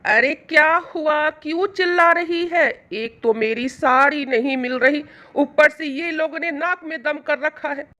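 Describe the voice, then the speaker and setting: A woman playing a cruel, greedy middle-aged mother-in-law in a moral storybook tale, shrill, nagging and accusing. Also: measured pace, hardly any pauses, pushed and bright. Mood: irritated. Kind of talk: Hindi moral storybook acting.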